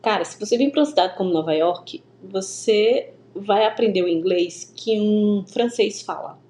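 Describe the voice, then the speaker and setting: A Brazilian woman from the Northeast telling her story as an interview guest over a video call, in a lively, stop-start way with many restarts. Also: mid-range voice, moderate pace. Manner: animated, many restarts and stammers. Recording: echoey room with faint ambience.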